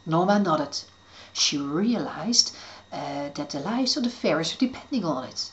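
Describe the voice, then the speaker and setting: A woman reading her own story aloud to children in an expressive way. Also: low voice, measured pace. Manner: expressive, lively read-aloud.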